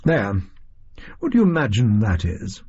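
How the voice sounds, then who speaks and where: A man voicing a brisk, eccentric, self-assured Victorian detective with an English accent and a pedantic, emphatic manner in an audio story. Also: on the low side, huge pitch swings, a little mellow, breathy edge, highs cut off, full character voice. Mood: impatient, dramatic.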